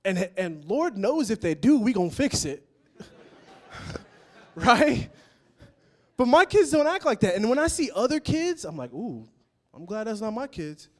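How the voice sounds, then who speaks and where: Animated, chatty young male preacher. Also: high voice, unhurried, long pauses. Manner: conversational and animated, jokes with the crowd. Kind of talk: sermon.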